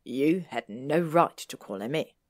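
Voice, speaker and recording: A woman's voice: extremely low pitch, lively intonation, a little slow, full character voice. A woman playing an aloof, proud teenage boy wizard in a story, speaking in a curt, clipped way. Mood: cold, indifferent.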